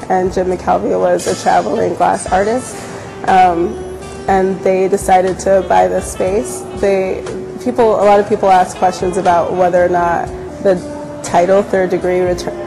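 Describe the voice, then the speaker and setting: A woman's voice: fairly low pitch, creaky, low-quality recording. A young woman, talking casually about her glass studio in an interview, with plenty of fillers and restarts. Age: young adult. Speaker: glass studio staff member. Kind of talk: on-camera interview about a venue. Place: large indoor gallery space. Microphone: lapel mic. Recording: music bed behind the voice.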